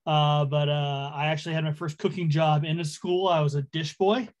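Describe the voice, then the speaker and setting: A man's voice: a little high, steady tone, moderate pace. A middle-aged man from Hawaii, a chef, talking casually and self-deprecatingly as a guest on a group call, with many ums. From Hawaii, USA.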